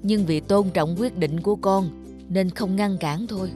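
Slow-talking old cartoon father. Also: high-pitched, music in the background. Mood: concerned. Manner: slow, measured, advising. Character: gentle, cautious old village father. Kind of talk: cartoon dubbing.

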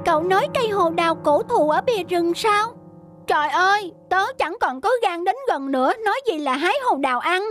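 A woman voicing a small, mischievous young squirrel in a cartoon, with a childish, excitable way of talking. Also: very high voice, brisk. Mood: anxious, eager. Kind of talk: cartoon voice acting. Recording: faint music behind.

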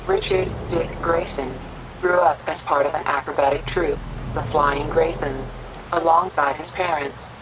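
Low-pitched, clinical computer. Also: female voice, steady tone, very noisy. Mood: impersonal, informative. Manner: clinical, measured, emotionless. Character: calm, impersonal female computer assistant. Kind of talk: scripted video game narration.

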